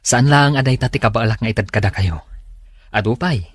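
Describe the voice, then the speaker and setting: Man acting as courteous young suitor, average male pitch, Ilocano accent, radio drama, devoted. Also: normal speed, slightly rough, no room sound, natural voice. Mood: modest, devoted.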